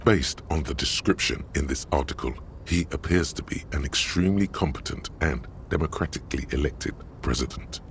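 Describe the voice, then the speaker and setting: An actor playing the dry, erudite inner voice of a detective's mind in a video game, measured and drily ironic, with a gravelly tone. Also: male voice, a little hiss or hum. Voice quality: very deep, gravelly. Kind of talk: scripted narration of a video game. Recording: music behind. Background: game music.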